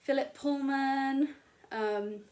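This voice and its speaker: A woman's voice: high voice, very slow, darkish tone. Chatty young woman, bookish vlogger. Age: young adult. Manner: chatty, self-deprecating, rambling with many fillers. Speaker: booktuber. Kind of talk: casual chat to camera. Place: bedroom at home.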